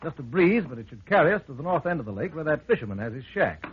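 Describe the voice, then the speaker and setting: An inquisitive, composed investigator in an old radio play. The voice is fairly high and very lively, with a slightly rough edge, heard through a thin, narrow-band vintage recording. The questioning is measured and bold. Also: male voice, heavy background noise. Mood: brisk, curious. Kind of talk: vintage radio drama acting.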